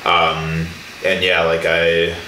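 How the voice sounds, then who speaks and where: A man's voice: sits low, monotone, takes it slowly, sharp tone, reverberant, slight background noise, rough recording. A young man hosting a podcast interview, rambling casually with lots of fillers. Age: young adult. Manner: rambling, many likes and fillers. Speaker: podcast host.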